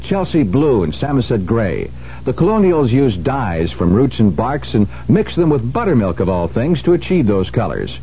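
A man's voice: medium pitch, mellow. A man reading a scripted radio commercial as the product spokesman. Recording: dull hissy 1970s radio recording.

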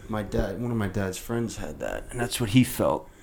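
Gravelly-voiced man hosting a podcast. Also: lowish voice, lively intonation, moderate pace, darkish tone, a little hiss or hum. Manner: loose, crude, laughing. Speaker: podcast host. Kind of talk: casual podcast banter.

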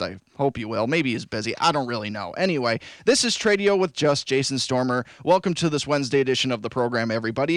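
A man's voice: medium pitch, dry recording, clean studio sound. A young man hosting a local radio call-in show, talking casually with lots of fillers and reading ads. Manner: chatty and rambling, with many fillers. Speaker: radio host. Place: radio studio. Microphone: studio microphone.

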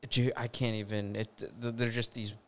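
A man's voice: lowish voice, flat delivery, moderate pace. A man co-hosting a podcast, talking in a laid-back way in casual chat. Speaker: podcast co-host.

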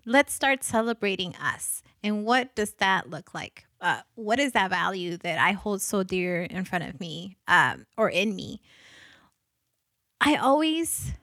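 A woman's voice: medium pitch, very animated, measured pace, sharp tone, no room sound, studio quality. A woman in her thirties from Guatemala with a slight Guatemalan Spanish accent, speaking earnestly and reflectively in a personal monologue.

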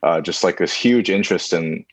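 Deep-voiced man in a relaxed chat. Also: normal speed, husky, no room sound. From China. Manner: relaxed, rambling with many fillers. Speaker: guest musician. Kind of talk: casual conversation.

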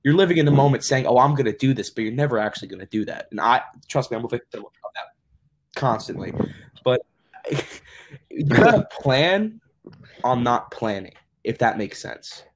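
A man's voice: mid-range voice, lively intonation, normal speed. A young man hosting a casual podcast, rambling through his thoughts with lots of "like" fillers. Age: young adult. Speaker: podcast host. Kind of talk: casual chat.

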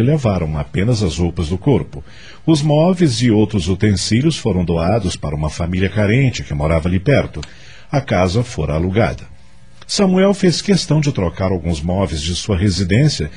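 A man's voice: deep voice, darkish tone, husky. A man with a Brazilian accent narrating a scripted radio drama as storyteller. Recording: music under the voice.

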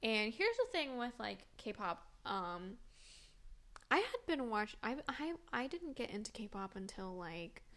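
A woman's voice: on the high side, big pitch swings, takes it slowly, fairly bright tone. A young woman talking casually to camera with a stuffy, congested nose, rambling and filling her talk with "like". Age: young adult. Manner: rambling, full of "like", laughs often. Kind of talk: casual vlog chat.

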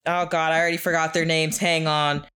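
A woman with a lowish voice gives her take on a TV show in an animated, opinionated way. She fills her talk with asides and "like"s. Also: fairly quick, smooth. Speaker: podcast co-host. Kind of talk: casual chat reviewing a TV show.

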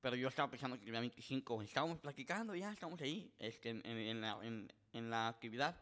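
Guy hosting a podcast, lots of "este", flips to english mid sentence, medium pitch. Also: expressive, fast talker, fairly bright tone. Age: 20s. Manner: rambling storyteller, many filler words, switches between Spanish and English. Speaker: podcast host. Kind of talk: casual bilingual chat.

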